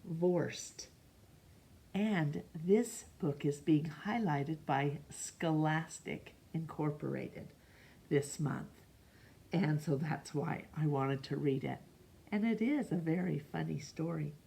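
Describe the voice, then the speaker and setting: A woman hosting a children's storytime, talking in a deliberate, emphatic way as she introduces and reads picture books. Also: low-pitched, vocal fry, dark tone. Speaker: storytime host reading to children. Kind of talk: expressive picture book read-aloud.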